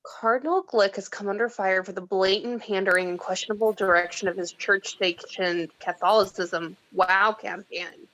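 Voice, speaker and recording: A woman's voice: mid-range voice, fairly even intonation, moderate pace, hardly any pauses, a bit bright, highs cut off, natural voice. A woman reading the part of a skeptical, reluctant heroine with a dry manner in a film script read-through. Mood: dry, resigned.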